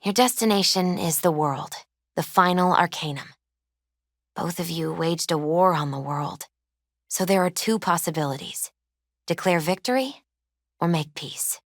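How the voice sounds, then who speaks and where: A woman playing a gentle, earnest young tarot reader in a video game, with thoughtful, hesitant phrasing. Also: lowish voice, dry recording, clean studio sound. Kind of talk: naturalistic video game dialogue acting.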